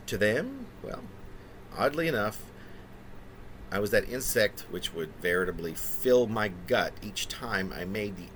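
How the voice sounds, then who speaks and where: A man in his fifties speaks in a low register with an animated, rising and falling melody. He is a poet presenting his own writing informally, often pausing as he goes. There is faint noise behind the voice. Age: 50s. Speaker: poet reading own work. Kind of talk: casual spoken preamble and poetry reading. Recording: noticeable background room noise.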